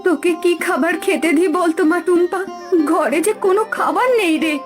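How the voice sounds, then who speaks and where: A poor, worried village mother from a cartoon folktale, played by a woman. Her very high voice is fretful and emphatic, with music clearly behind it. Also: fairly quick.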